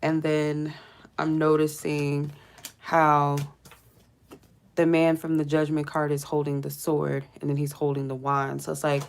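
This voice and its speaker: Low, gravelly-voiced woman reading tarot. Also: completely flat delivery, measured pace. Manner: rambling, filler-heavy, murmurs quiet prayers between readings. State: stuffy from a cold. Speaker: tarot reader hosting a live stream. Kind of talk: casual live tarot reading.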